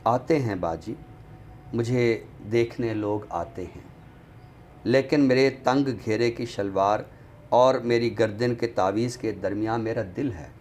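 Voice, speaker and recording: A man reciting poetry on a literary show in a deliberate, expressive way, returning to refrains. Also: mid-range voice. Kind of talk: dramatic Urdu poetry recitation, then book talk. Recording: clearly noisy background ambience.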